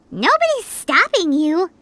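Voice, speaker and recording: Squeaky little fairy sidekick. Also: female voice, very animated, slow, background noise, exaggerated voice. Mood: grumbling, consoling. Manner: perky, blunt, exclamatory. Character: tiny chirpy childlike fairy companion, blunt and nosy. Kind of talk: video game voice acting. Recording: game music behind the voice.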